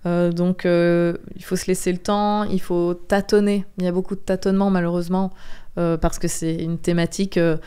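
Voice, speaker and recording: Female podcast guest. Very clean, resonant, mellow tone; candid, earnest, insistent delivery with hesitant pauses. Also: lowish voice, flat delivery, clean tone. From Belgium. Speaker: interview guest, comic book author. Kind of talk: candid podcast interview testimony.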